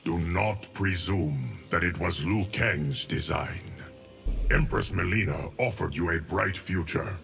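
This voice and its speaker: An actor voicing a solemn, stoic, ageless guardian of time in a video game, formal and measured with a gravelly voice. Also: male voice, low-pitched, a bit bright, soft background music, full character voice.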